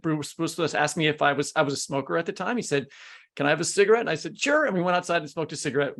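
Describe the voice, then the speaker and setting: A man with a somewhat higher-than-average voice co-hosts a podcast. His delivery is conversational, like casual chat between colleagues. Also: vocal fry. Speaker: podcast host.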